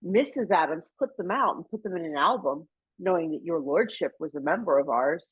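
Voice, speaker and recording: Husky, deferential club steward, played by a woman. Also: fairly low pitch, lively intonation, a little fast, highs cut off. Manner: obsequious, hesitant, careful politeness. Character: deferential, flattering club steward serving his lordship. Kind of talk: radio play comedy acting.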